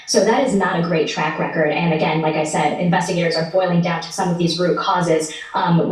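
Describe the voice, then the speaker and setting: A woman's voice: fairly low pitch, darkish tone. A woman reporter giving conversational, explanatory answers as a guest in a news interview. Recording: very echoey, distant from the mic.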